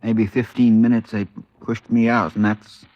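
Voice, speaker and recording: Man playing an crime victim, lowish voice, hesitant, deferential, dull vintage radio recording. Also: little pitch movement, normal speed, dry recording, lightly acted. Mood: shaken. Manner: hesitant, subdued. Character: shaken, deferential male robbery victim. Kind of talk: 1950s radio drama acting.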